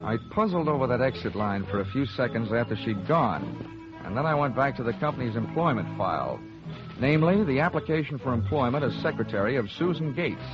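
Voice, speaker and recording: A man's voice: mid-range voice, very animated, fairly quick, over music, natural voice. Wry hard-boiled radio detective played by a man. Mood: dry narration. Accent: American. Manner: sardonic, clipped wisecracking. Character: wry, hard-boiled male insurance investigator. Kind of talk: old-time radio detective drama acting and first-person narration. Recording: muffled vintage radio drama recording.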